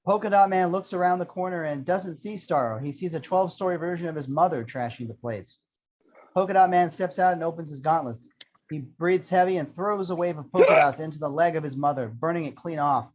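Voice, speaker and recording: A man's voice: fairly high pitch, brisk, a little hiss or hum. A man reading screenplay action lines as scripted narration at an amateur table read. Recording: dull lo-fi sound.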